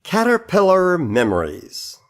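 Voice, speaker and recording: A man's voice: high-pitched, wildly swooping pitch, measured pace. Guy doing spoken word poetry, takes his time, voice swings up and down a lot, pretty theatrical. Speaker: poetry reader.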